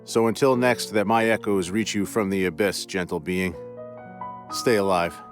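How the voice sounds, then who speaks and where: A man hosting a podcast and narrating a classic ghost story in a measured, theatrical way. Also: low-pitched, fairly even intonation, over music.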